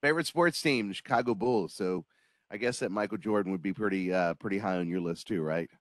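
A middle-aged man hosts a relaxed video-call interview in a lowish voice. He asks questions in a friendly, joking way, and the sound is dry and close. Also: a little fast, dry recording. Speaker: host.

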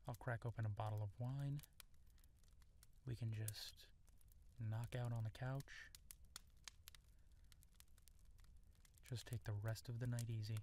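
A man playing an affectionate, playful young husband and new father in an intimate audio roleplay, with a tender, teasing manner and hesitant pauses. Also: medium pitch, flat delivery, background noise, lightly acted. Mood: playful, relaxed.

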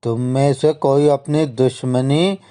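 A man voicing a gentle old village elder in an animated story, measured and fatherly as he advises. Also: mid-range voice, lightly acted. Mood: explaining. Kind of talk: Hindi animated story acting.

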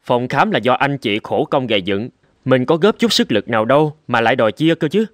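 A man playing a calm, principled young husband in an animated drama, speaking in a measured, reassuring way. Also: mid-range voice, dry recording, natural voice. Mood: calm, explaining. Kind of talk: Vietnamese animated drama dubbing. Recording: loud music behind the voice.

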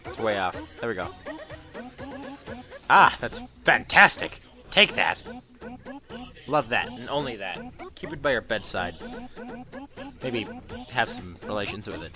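A young man giving a casual let's-play commentary, crude and rambling, with self-mocking jokes and sudden loud outbursts. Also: fairly low pitch, very animated, measured pace, long pauses. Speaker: gaming commentator. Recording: game music loud underneath. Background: electronic dubstep game music.

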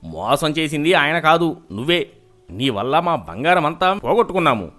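A man's voice: on the high side, sing-song, normal speed, fairly bright tone. A man voicing an angry, commanding village headman in a cartoon moral story. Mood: angry, accusing. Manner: accusing, commanding. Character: stern, angry male village headman, quick to blame. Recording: music behind the voice.

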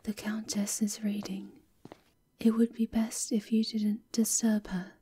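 A woman acts as a watchful female castle guard in an ASMR roleplay. Her tone is extremely mellow and hushed, and she talks very slowly, formal and reserved, with stern duty. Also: medium pitch, little pitch movement, a little hiss or hum, natural voice. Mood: dutiful, wary.

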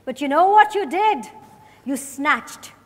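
A woman's voice: sits high, lively intonation, slow. A woman acting a probing, accusatory female detective in a theatrical dramatized stage reading. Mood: accusing, forceful. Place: large hotel ballroom stage. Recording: echoey hall, picked up from the audience.

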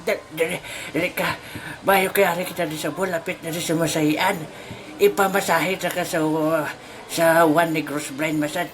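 A woman with a Western Visayan Philippine accent playing an excitable, bumbling friend in a comic audio drama. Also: low voice, moderate pace, close to an everyday voice. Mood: bossy. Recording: very noisy amateur audio drama recording.

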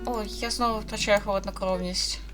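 A woman's voice: mid-range voice, fairly even intonation, normal speed, a bit bright. A woman giving casual commentary as she plays a game, muttering to herself in a puzzled way and reading bits of dialogue aloud. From Ukraine. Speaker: gaming video host. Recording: music behind the voice.

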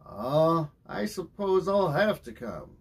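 A man playing a reluctant young boy from a storybook, sleepy and drawling. The voice lifts high and swings about, with a raspy edge. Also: big pitch swings, slow, heavily acted. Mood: sleepy reluctance.

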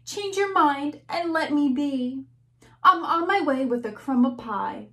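A small, earnest storybook ant begs for his life in slow, rhyming lines, as a woman reads him aloud. The voice sits high and swoops freely, unhurried and pleading. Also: lively intonation, pushed and bright, full character voice. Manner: pleading, slow and sing-song rhyme.

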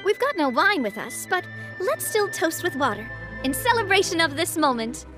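Gracious young lady boss, game heroine: high, assured, elegant delivery over music. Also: big pitch swings, moderate pace. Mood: warm, celebratory. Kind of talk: video game character acting. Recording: music behind the voice.

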